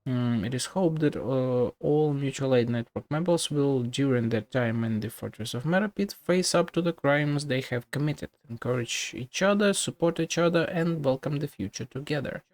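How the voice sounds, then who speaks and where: A man's voice: medium pitch, moderate pace, few pauses, a little mellow. A man giving casual, offhand game commentary and reading text aloud, muttering now and then. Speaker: video game streamer.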